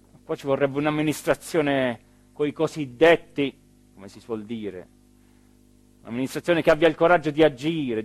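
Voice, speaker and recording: Embittered southern Italian small-town mayor, higher-pitched. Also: male voice, no room sound. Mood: indignant, declaiming. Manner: emotional, swinging from low murmurs to loud declaiming. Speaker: stage actor. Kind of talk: theatrical monologue.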